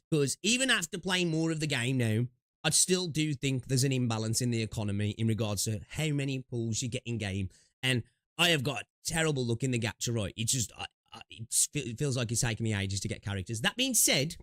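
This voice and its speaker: A man chats through a game stream in a rambling, restart-heavy way, cursing freely as he goes. He has a slight sniffle from allergies. His voice sits at a middling pitch and sounds close and dry, with almost no room around it. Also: a bit bright, no room sound. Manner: rambling, swears, many restarts and fillers. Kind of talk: casual gaming stream chat.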